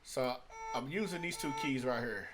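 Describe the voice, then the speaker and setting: A man's voice: medium pitch, moderate pace, noisy background. A man giving a casual tutorial as a music producer, thinking aloud in a rambling way and often repeating his phrases. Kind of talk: casual screen-recorded tutorial talk. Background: hip-hop beat loops playing.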